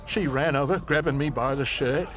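An actor playing a very old English man with a London accent, telling his life story in a measured, theatrical, grave way for an audio drama. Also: mid-range voice, natural voice. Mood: grave, reminiscing. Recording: music behind the voice.

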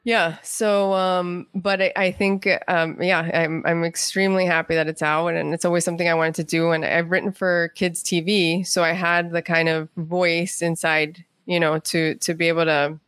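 Female interview guest, writer, slightly low pitch, conversational animated storyteller, dry close sound. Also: normal speed, hardly any pauses, clear, resonant tone, dry recording.

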